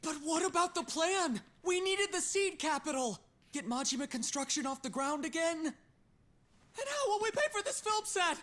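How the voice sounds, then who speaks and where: A man's voice: very high-pitched, sing-song, bright, forceful tone, full character voice. An actor playing a dim, anxious yakuza henchman in a video game, whining and pleading. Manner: whining, slow-witted, pleading. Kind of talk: comic video game cutscene acting.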